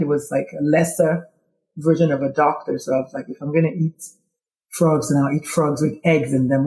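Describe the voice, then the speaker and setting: Nigerian woman with a mellow voice. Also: low voice, echoey room, poor audio. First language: Igbo. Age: middle-aged. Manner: animated, quoting herself in dialogue. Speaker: guest. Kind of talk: casual interview storytelling.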